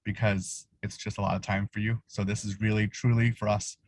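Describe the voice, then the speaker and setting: Low flat-voiced man in a car. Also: fairly quick, dry recording. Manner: relaxed, filler-heavy, reassuring. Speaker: associate program director. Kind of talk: casual video call introduction. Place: parked car. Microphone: video call.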